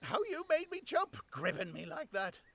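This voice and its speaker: A man voicing an excitable rustic tramp who yelps his lines high and loud. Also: moderate pace, vocal fry, exaggerated voice. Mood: astonished. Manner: high squawking yelps, exclamatory. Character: excitable rustic male tramp, gruff and bewildered.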